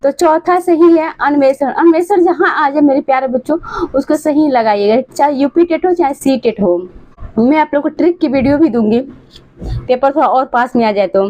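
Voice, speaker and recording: Woman, hoarse voice, high-pitched, teacher, reads questions and answers. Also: normal speed, mellow, rough recording. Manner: addresses students fondly, reads questions then answers. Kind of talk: exam answer walkthrough.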